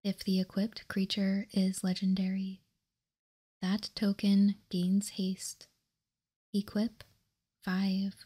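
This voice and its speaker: A woman whispering softly and breathily in an ASMR style, calm and unhurried, as she hosts a card walkthrough. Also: mid-range voice, breathy edge. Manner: calm, unhurried, reading out and commenting. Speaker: host of a card game ASMR channel.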